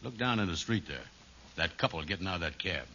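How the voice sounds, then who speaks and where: A man playing an easygoing, slow-witted pal in a 1940s radio sitcom, talking in a folksy comic dialect. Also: low-pitched, lively intonation, bright, forceful tone, heavily acted. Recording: dull, hissy old radio recording.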